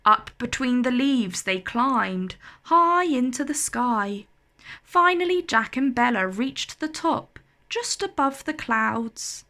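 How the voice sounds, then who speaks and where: A woman reading a children's storybook aloud in an expressive, animated, carefully enunciated way. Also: a little high, slow pace.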